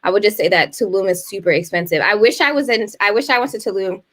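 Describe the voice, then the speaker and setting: A woman in her thirties co-hosting a casual chat show, telling stories animatedly and jumping up high when she exclaims. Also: fast talker, a bit bright. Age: 30s. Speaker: co-host.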